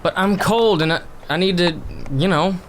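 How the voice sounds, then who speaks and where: An actor playing a young outlaw prisoner in a western audio drama, complaining and pleading in an anxious, cheeky way. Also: male voice, high-pitched, moderate pace, a little hiss or hum, natural voice. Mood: whining. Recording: music bed behind the voice.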